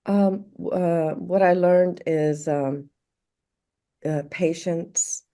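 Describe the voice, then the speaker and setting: A woman from Morocco, a podcast guest, speaking warmly and reflectively, halting often with fillers and restarts. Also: fairly low pitch, fairly even intonation. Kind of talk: casual interview conversation.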